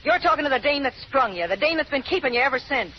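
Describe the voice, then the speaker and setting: A woman's voice: sits high, animated, fast. A woman playing a bitter, hard-boiled escaped convict in an old radio drama, talking tough slang. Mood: scornful, angry. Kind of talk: 1930s-40s radio drama acting. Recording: muffled, noisy old radio recording.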